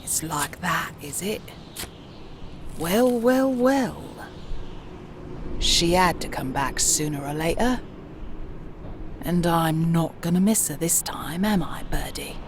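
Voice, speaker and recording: A woman's voice: lowish voice, lively intonation, slow, pauses often. A woman voicing an ancient, cantankerous London street woman who scolds and mutters in a comic dub, with a London accent. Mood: muttering, scheming. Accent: British, London. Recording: clearly noisy background.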